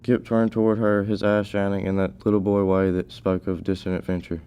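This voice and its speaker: A man's voice: sits low, flat delivery, fairly quick, warm tone, clear, resonant tone. A teenage male reading scripted story narration.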